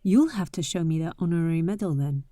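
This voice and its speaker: A woman playing a bold, impatient but caring adventurer in an intimate roleplay audio drama, with breathy, teasing, exasperated delivery. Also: lowish voice, expressive, fast, warm tone, no echo, clean studio sound, close to an everyday voice. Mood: curious, teasing.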